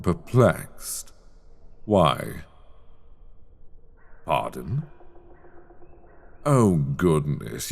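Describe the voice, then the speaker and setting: A man playing a middle-aged, menacing headmaster of a magic school in a dark fantasy audio roleplay, haughty, mocking and theatrically polite. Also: a bass voice, vocal fry, heavily acted. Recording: echoey hall with long reverb.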